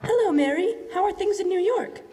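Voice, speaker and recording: Friend role for an actress: gossipy, breezy housewife, high singsong swings, old-style radio drama in a reverberant hall. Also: female voice, lively intonation, fairly quick, dark tone. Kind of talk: live stage radio drama in classic style. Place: on stage in a school hall. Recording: echoey hall, live stage performance.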